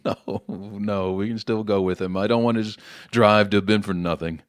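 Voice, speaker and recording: A man playing a remorseful politician, the father of a grown daughter, in an audio play, speaking in a halting, earnest way. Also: on the low side, steady tone, no echo, clean studio sound, close to an everyday voice. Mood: relieved, warm. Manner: halting, earnest, repeats himself.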